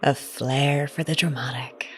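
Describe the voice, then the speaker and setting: A woman's voice: low-pitched, fairly even intonation, measured pace, fairly bright tone, breathy edge, exaggerated voice. An actress playing a determined, wry woman investigator in an audio drama, pointed and probing in her delivery. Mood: guarded, defiant. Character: determined woman investigator, wry, wounded, confronting former partner.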